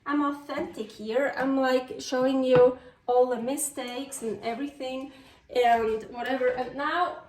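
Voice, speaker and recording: A woman's voice: high-pitched, steady tone, a little slow. A woman from Switzerland with a Swiss-tinged Californian English accent, chatting casually and rambling in a self-deprecating way as she cooks in her home kitchen. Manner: rambling, self-deprecating, exclaiming as things go wrong. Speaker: cooking vlog host. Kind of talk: casual unscripted kitchen chat while cooking. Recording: roomy, distant mic, faint noise.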